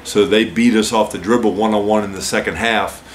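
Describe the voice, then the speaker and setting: A man in his forties with a slightly low voice gives postgame answers as a basketball coach. He talks in a measured, explanatory way, leaning on "uh" and "so". Faint noise hangs in the press room. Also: fairly even intonation. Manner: measured, explanatory, many "uh" and "so" openers. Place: press room with a team backdrop, seated at a table.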